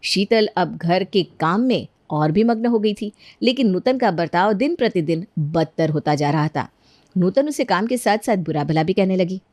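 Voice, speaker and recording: A woman's voice: medium pitch, animated, normal speed, a little mellow, no room sound. A woman narrating a scripted cartoon bedtime story as the storyteller.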